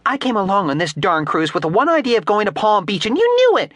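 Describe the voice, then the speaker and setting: Spoiled defiant young woman, scornful and petulant, put on by a male narrator, bright and lively. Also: high voice, fast, no room sound, pitched above the performer's own voice, heavily acted.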